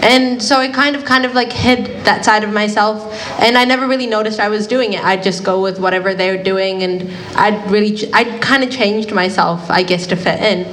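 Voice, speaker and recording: A woman's voice: medium pitch, fairly even intonation, normal speed, fairly bright tone. A young woman from Finland telling a personal story on a panel, hesitant, with many fillers. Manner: hesitant, many likes and ums.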